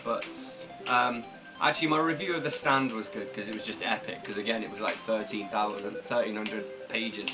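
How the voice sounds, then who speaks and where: Male book vlogger, mid-pitched, informal and rambling, self-deprecating, clear music behind. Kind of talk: casual chat to camera.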